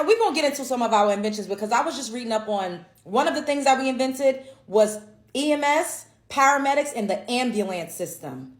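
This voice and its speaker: An American woman talking bluntly and emphatically in a casual opinion piece, with some swearing. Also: high-pitched. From United States. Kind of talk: casual opinion talk to camera. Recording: echoey room.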